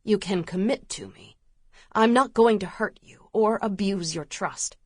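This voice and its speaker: A woman voicing a stubborn, hurt young male rancher in an audiobook, speaking low, firm and hushed. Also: measured pace, no room sound, studio quality, lightly acted. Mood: pleading.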